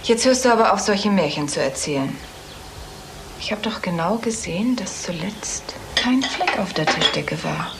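A woman's voice: medium pitch, lively intonation, moderate pace, natural voice. An actress playing a fussy, prim and insistent mother and hostess in a radio play. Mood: indignant. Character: fussy, polite hostess mother, scolding her small son. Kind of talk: German radio play dialogue. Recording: noisy recording with room ambience.

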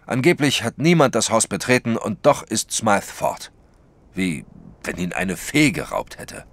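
An actor playing an excitable, emphatic French detective, baffled by a case, in a radio play. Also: male voice, lowish voice, unhurried, exaggerated voice. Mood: puzzled, insistent. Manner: dramatic, emphatic.

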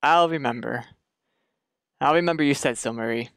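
An actor playing a loyal but incredulous friend in a radio mystery, excitable and wry. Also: male voice, mid-range voice, moderate pace, smooth, dry recording, natural voice. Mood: uneasy. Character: incredulous, loyal friend drawn into grave robbing. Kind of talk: radio mystery drama acting.

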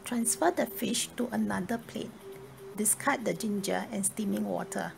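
Very creaky-voiced woman narrating a recipe. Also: mid-range voice, normal speed. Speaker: cooking video narrator. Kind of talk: scripted instructional narration. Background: music.

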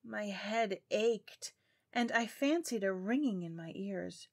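A woman's voice: medium pitch, lively intonation, takes it slowly, vocal fry, full character voice. A woman playing a guilt-haunted, unhinged murderer in a theatrical, intense audio drama monologue. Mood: uneasy. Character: mad, guilt-haunted murderer, falsely calm then frenzied. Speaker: narrator of a horror tale.

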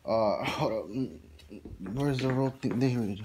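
Young male vlogger, mid-pitch, rambling and mumbling with curses, phone at arm's length, noisy recording. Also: unhurried. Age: young adult. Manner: rambling, mumbled asides, frequent cursing. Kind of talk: casual handheld vlog. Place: house indoors, then outdoors in a neighborhood. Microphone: phone held at arm's length.

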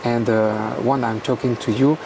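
A man with a French accent explains technique slowly and hesitantly, filling his sentences with pauses and restarts. He sits somewhat away from the microphone. Steady background noise runs under his voice. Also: mid-range voice, vocal fry, recorded from a distance. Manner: hesitant, halting, many fillers. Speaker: guest commentator.

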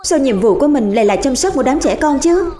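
A determined young cartoon girl, with a fairly high voice. She sounds exasperated yet caring, like a reluctant babysitter, and music plays under her. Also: animated, brisk, warm tone. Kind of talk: animated series dubbing.

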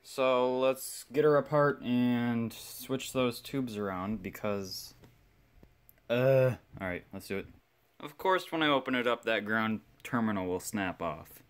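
A man's voice: mid-range voice, measured pace, smooth. A man giving casual repair commentary as a hobbyist, rambling and self-deprecating as he thinks aloud. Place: indoor workshop bench.

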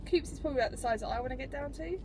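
A woman's voice: high voice, very fast, music in the background. A young British woman vlogging casually from inside her car, rambling conversationally to a dashboard camera. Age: young adult. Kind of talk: casual chat to camera while driving. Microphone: camera mounted on the dashboard.

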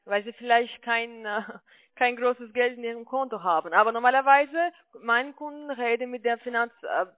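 A woman's voice: a little high, no echo. Foreign-accented woman on a tinny phone line. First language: not German. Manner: insistent, halting non-native phrasing. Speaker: call center agent. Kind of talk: phone sales call, arguing. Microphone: telephone.

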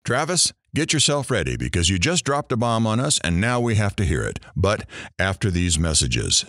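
A man's voice: lowish voice, very animated, moderate pace, a bit bright, no room sound, clean studio sound, exaggerated voice. A man playing a brash, wisecracking morning radio host in an audio drama, with sarcastic, theatrical delivery. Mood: teasing, theatrical. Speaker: radio talk show host.

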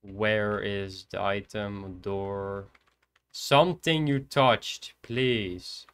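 A man's voice: on the low side, fairly even intonation, drawn-out words, smooth. Shy young Dutch man streaming a game. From Netherlands. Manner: soft-spoken, hesitant, thinking aloud. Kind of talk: casual gameplay commentary. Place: indoors at a computer.

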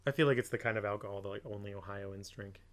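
A young man from Ohio, a podcast co-host going hoarse, rambling through crude jokes and fillers while commenting on a film. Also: on the low side, quick pace. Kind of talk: comedic film commentary chat.

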